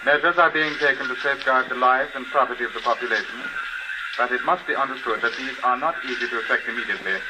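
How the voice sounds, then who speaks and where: A man's voice: mid-range voice, fast talker, natural voice. Through heavy noise on an old radio recording, a male actor as a London announcer delivers a grave bulletin. He is stately and formal, with a mid-range voice and firm emphasis. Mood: grave, official. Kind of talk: 1950s radio emergency bulletin.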